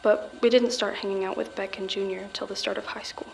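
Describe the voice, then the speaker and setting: Actress as guarded teenage murder suspect, mid-pitched, subdued and halting, audio drama, light background noise. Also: female voice, fairly even intonation, gravelly, close to an everyday voice, poor audio. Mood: subdued, reminiscing. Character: guarded teenage girl accused of murder, recounting events.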